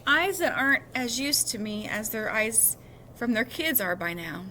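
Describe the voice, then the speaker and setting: A woman's voice: on the high side, big pitch swings, a little slow, bright, forceful tone, a little hiss or hum. A woman reading a children's novel aloud expressively, now and then correcting a small slip. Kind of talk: read-aloud storytelling.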